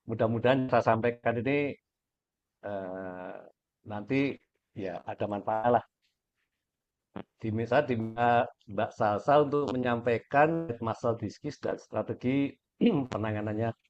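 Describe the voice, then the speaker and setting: A hoarse man giving a measured webinar presentation with frequent pauses. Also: mid-range voice. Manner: measured, many pauses and fillers. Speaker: guest speaker, aquaculture director. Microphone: video call. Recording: dull lo-fi call audio.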